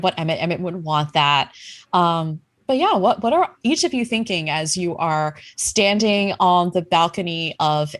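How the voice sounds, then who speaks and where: Lower-voiced woman running a tabletop game. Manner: casual, conversational storytelling. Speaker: game master. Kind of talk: improvised tabletop game narration.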